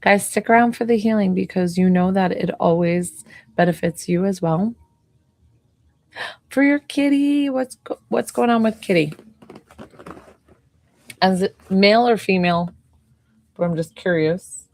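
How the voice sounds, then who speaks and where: A woman in her forties, an intuitive healer, chatting casually on a live stream, talking softly with a slightly hoarse voice and many fillers. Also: mid-range voice, a little slow, long pauses, no echo.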